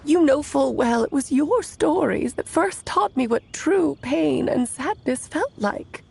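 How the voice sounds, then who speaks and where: A woman's voice: high voice, lively intonation, unhurried, vocal fry, a little mellow, slight background noise, exaggerated voice. A woman voicing a playful, theatrical witch in a video game, teasing and amused.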